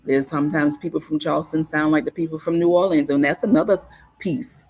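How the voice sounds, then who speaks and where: A woman's voice: low voice, steady tone, fairly quick, a little mellow, husky. A woman from South Carolina, a podcast guest telling stories in casual conversation, thoughtful and often stopping to restart with ums. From Hilton Head, South Carolina.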